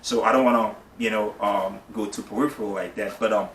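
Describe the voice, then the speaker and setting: A man's voice: on the low side, fairly even intonation, brisk, a bit bright. Earnest Haitian man explaining at length. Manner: earnest, explanatory, repeats "you see what I'm saying". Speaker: guest. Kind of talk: casual discussion. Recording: echoey room, mic at a distance, faint noise.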